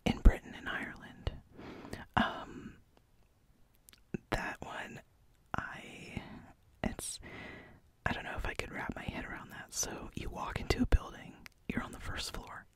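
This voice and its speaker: An American speaker chatting in a soft, unvoiced ASMR whisper, musing and laughing at their own ideas. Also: unhurried, pauses often. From United States.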